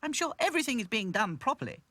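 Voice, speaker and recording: A man voicing a brisk, bossy but well-mannered female rag doll in a children's story, in a high-pitched voice. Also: sing-song, bright, forceful tone, no echo, full character voice.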